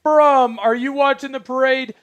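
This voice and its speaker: High-voiced hyped man hosting a stream. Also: fairly even intonation, moderate pace, a bit bright, dry recording, highs cut off. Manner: hyped, chatty, doing jumping jacks while talking. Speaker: live stream co-host. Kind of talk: casual live stream chat. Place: sports media studio.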